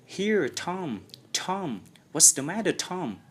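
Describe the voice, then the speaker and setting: Anxious little brother for an audiobook man acting: raised pitch, excitable, worried, urgent. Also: slow, breathy edge, full character voice.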